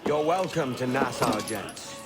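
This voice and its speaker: A man's voice: mid-range voice, animated, a little slow, over loud music, close to an everyday voice. A man playing a brash, cynical young pirate rogue in a video game, flippant and wry in his delivery. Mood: wry, cocky. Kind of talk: video game cinematic acting.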